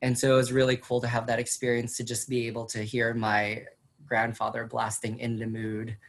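A woman's voice: extremely low pitch, monotone, moderate pace. A woman from the Philippines who grew up speaking Chavacano, a teacher chatting casually with many fillers and easy laughs. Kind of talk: casual conversation.

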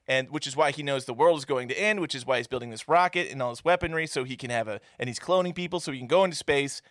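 A man co-hosting a casual movie review podcast, animated and swearing freely. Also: medium pitch, no echo, clean studio sound. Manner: animated, swearing, quick asides. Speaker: podcast co-host.

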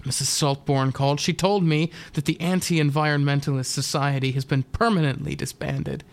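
A man's voice: a little high, steady tone, normal speed, gravelly. A man voicing a middle-aged mother in a radio drama, strained and fussy, clinging to cheer. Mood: exasperated, placating. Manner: fussy, placating, exasperated.

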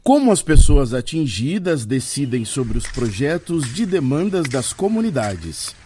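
A man's voice: medium pitch, big pitch swings, measured pace, warm tone, slight background noise. A man with a Brazilian accent presenting a radio programme in scripted narration. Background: music.

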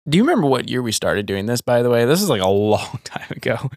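A man's voice: mid-range voice, very animated, quick pace, a little mellow, dry recording, studio quality. A young man co-hosting a podcast, telling a childhood story in casual, rambling talk with frequent laughs. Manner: rambling, laughs often, says like a lot. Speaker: podcast co-host.

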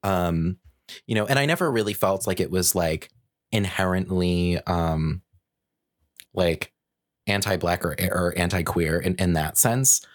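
Male podcast host, very low register, casual and reflective, dry studio-tight sound. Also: unhurried, no room sound. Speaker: podcast host and design researcher. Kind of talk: casual conversational chat.

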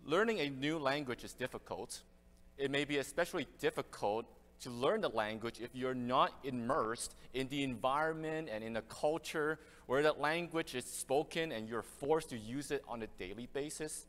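A man's voice: mid-range voice, moderate pace, a bit bright. A male pastor from Canada, preaching an earnest, conversational expository sermon with frequent restarts. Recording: reverberant church hall.

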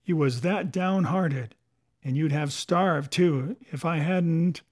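A man voicing a domineering, crafty pirate sea cook who taunts and commands in rough sailor dialect, in a storybook reading. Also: a little high, takes it slowly, darkish tone. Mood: scornful, boastful.

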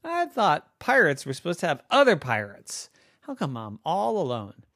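A woman's voice: deep voice, huge pitch swings, normal speed, a bit bright, no echo, studio quality, heavily acted. A woman doing a jolly five-year-old pirate boy. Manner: jolly, proud.

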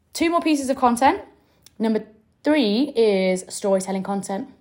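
Young woman coach, middle-pitched voice, chatty and direct. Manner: chatty and direct, with occasional laughs and mild swearing. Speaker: business coach and podcast host. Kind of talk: casual teaching podcast monologue.

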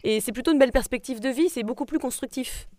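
A woman's voice: high-pitched, fast, warm tone, no room sound, studio quality. A woman hosting a casual conversational interview, speaking in an agreeable and encouraging way. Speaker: interview host.

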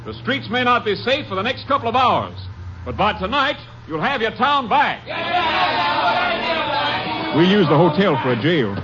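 A forceful officer calls out orders in a rather high, wide-ranging voice. It is 1950s radio drama, dull in sound with music. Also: male voice, huge pitch swings, moderate pace, pauses often. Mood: commanding, loud. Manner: declamatory, commanding. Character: forceful police officer commanding a town raid. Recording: muffled vintage radio recording with music.

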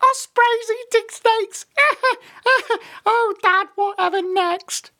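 Little osprey chick, very high, squeaky, cheeky, excitable, storybook voice. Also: a little slow. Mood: giggly mocking. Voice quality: small, squeaky.

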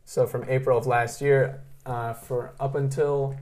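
A man interviewing a guest in a casual, friendly way, full of fillers and quick affirmations. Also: mid-range voice, flat delivery, darkish tone.

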